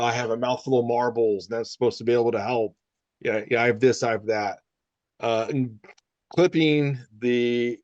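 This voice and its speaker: A man leads a casual group talk as a speech therapist, rambling a little with restarts and quick bursts. His voice sits mid-pitch, and the recording is slightly muffled and dry. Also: a little mellow, dry recording. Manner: conversational with many fillers and restarts, sometimes rapid. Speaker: speech-language pathologist leading a discussion.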